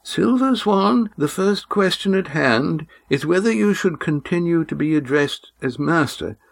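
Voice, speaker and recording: A man's voice: a little high, animated, a little slow, vocal fry, voice pushed up, full character voice. A man voicing a frail old patriarch with a thin but firm, formal voice.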